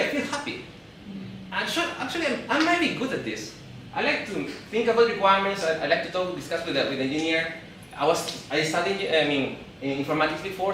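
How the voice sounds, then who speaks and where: A Peruvian man in his thirties gives a talk on stage, his English carrying a Spanish accent. His voice is a little high and rings around a big echoey hall. He sounds some way off the mic and often stumbles, repeats and restarts his words. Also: bright, forceful tone, far from the mic, slight background noise. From Peru. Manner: halting, repeats and restarts words, laughs along with the crowd. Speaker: event speaker. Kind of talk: live stage talk to an audience. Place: event hall.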